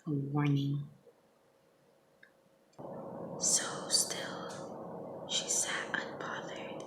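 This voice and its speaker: Hushed, slow young woman whispering ASMR. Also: low-pitched, monotone. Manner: hushed, gentle, unhurried. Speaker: ASMR host reading their own poems. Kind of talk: soft-spoken, half-whispered ASMR poem reading. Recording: echoey, with clear background noise.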